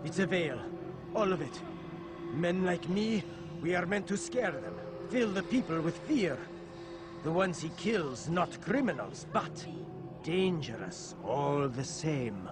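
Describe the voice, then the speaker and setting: Halting, evasive informant, fairly high. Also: male voice, animated, over music. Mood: fearful, cryptic. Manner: halting, cryptic phrases. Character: evasive, uneasy informant hinting at dark plots. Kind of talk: video game dramatic acting.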